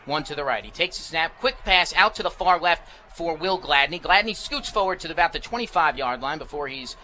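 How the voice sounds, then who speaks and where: A man's voice: a little high, animated, brisk, pushed and bright. An American man calling live football play-by-play for radio in clipped, descriptive phrases. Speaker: play-by-play sports announcer. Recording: live broadcast with faint background noise.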